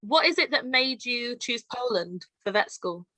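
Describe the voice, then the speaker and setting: A woman's voice: on the high side, animated, moderate pace, sharp tone, no echo. A woman co-hosting a podcast, chiming in with short eager remarks and questions. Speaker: podcast co-host. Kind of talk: casual interview chat.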